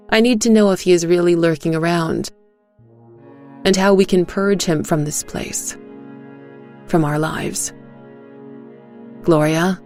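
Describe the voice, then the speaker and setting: A woman narrating a dark scripted tale as a storyteller, in a deliberate, suspenseful way. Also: on the low side.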